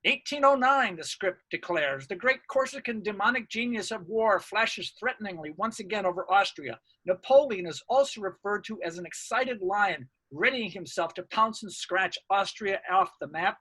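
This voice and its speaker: High, bright-voiced man reading a lecture. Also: expressive, normal speed, hardly any pauses, slightly rough, low-quality recording. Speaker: academic researcher presenting a paper. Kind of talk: scripted academic lecture narration.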